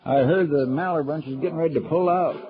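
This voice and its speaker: A man's voice: fairly high pitch, fast talker, close to an everyday voice. Man acting folksy American deputy, deferential, plain-spoken, old-time radio Western, muffled sound, crowd in background. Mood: earnest, worried. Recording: dull vintage radio recording, crowd murmur behind.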